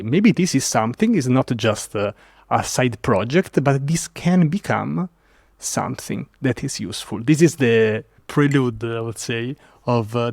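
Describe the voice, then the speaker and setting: Italian man, animated podcast guest. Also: medium pitch, no room sound, studio quality. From Italy. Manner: animated storytelling with frequent fillers and restarts. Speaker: guest developer advocate. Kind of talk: casual podcast interview.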